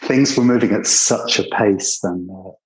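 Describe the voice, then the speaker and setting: Low-voiced older British man reminiscing. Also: moderate pace, poor audio. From Britain. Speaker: interview guest recalling his years living abroad. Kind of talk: conversational personal reminiscence.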